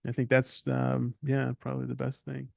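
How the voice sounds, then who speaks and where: A man's voice: mid-range voice, completely flat delivery, normal speed, gravelly, no room sound, clean studio sound. A man answering on a poetry reading panel, thoughtful and hesitant, full of ums and restarts. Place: live reading event before an audience.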